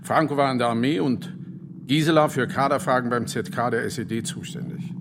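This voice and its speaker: An actor in a radio play playing a blunt, protective former agent who gives orders and reassurance. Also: male voice, mid-range voice, brisk, noisy background, close to an everyday voice. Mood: steady, reassuring. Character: gruff, protective East German ex-agent, a loyal friend.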